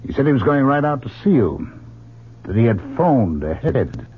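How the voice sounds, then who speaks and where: A man's voice: mid-range voice, big pitch swings, moderate pace, a little hiss or hum, lightly acted. An actor playing a stern, worried older father and doctor in a radio drama, earnest, formal and insistent. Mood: probing. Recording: dull, lo-fi old radio recording.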